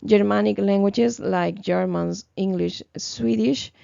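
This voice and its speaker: Sick young woman, gravelly and slow. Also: mid-range voice, steady tone, dark tone, dry recording, muffled recording. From Ecuador. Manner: hesitant, many fillers and restarts. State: sick, slightly hoarse. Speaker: student presenter. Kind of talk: class presentation, read from notes.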